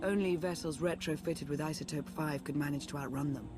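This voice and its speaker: An actress playing a composed, analytical female strategist in a video game, formal and measured as she explains. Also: low-pitched, fairly even intonation, music in the background, close to an everyday voice. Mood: grave, explanatory. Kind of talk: dramatic video game acting.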